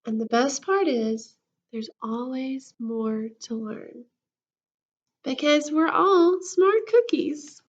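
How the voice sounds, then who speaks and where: Woman doing a kids read-aloud, high voice, slow n expressive, kinda dull lo-fi recording. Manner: animated, acting out the story. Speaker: school counselor reading a picture book aloud.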